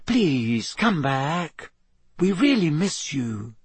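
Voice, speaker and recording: A kind teenage girl speaking quietly and pleadingly, voiced by a man. Her voice sits higher than the reader's own, soft and a little husky. Recorded close and dry. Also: big pitch swings, extremely slow, dry recording, full character voice.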